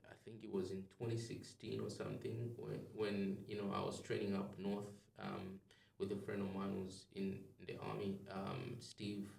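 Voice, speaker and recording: A man's voice: deep voice, vocal fry, darkish tone, recorded from a distance. A man from Zambia, a podcast guest chatting casually and rambling with many ums and restarts. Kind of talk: casual interview chat. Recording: echoey room, off-mic.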